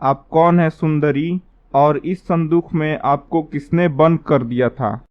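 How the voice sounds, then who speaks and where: Storybook cartoon prince, male actor: noble, courteous, measured delivery, lightly lo-fi recording. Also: a little high, steady tone. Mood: courteous concern. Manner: measured, formal, commanding. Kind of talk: Hindi cartoon storybook acting. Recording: slightly dull, lo-fi sound.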